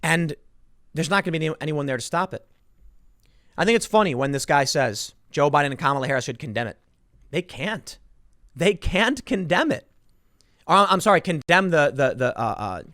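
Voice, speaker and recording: A man's voice: on the high side, fairly bright tone, no room sound, clean studio sound. A man giving impassioned solo political commentary as a host, emphatic and indignant, repeating phrases for stress.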